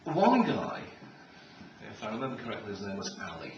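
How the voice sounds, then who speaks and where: A middle-aged man speaks in public with a wry, animated delivery, telling anecdotes as a keynote speaker. His voice is heard from a distance in a very reverberant hall, with clear noise on the recording. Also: lowish voice, brisk, muffled recording. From Israel. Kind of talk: humorous public talk with anecdotes. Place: large room with an audience. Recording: echoey, noisy hall, distant microphone.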